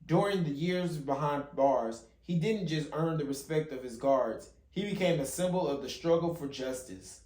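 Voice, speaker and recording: Man, slightly high voice, storytime read-aloud, occasional stumbles and rereads, roomy echo. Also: moderate pace, few pauses, a little mellow. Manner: stumbles and rereads phrases as he reads. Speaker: father hosting a children's read-along channel. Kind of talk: reading a picture book aloud. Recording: roomy, echoey home recording.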